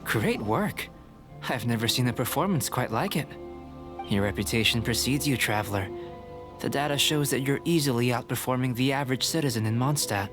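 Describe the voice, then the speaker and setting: Calm, cerebral young alchemist played by a man. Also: medium pitch, over music, close to an everyday voice. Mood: pleased, analytical. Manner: measured, articulate, detached, politely scholarly. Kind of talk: video game voice acting.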